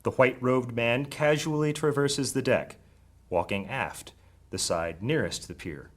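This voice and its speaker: A man narrating a high fantasy audio drama with dramatic storytelling delivery. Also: measured pace, natural voice. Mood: dramatic, grave. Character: male narrator of dark high fantasy adventure.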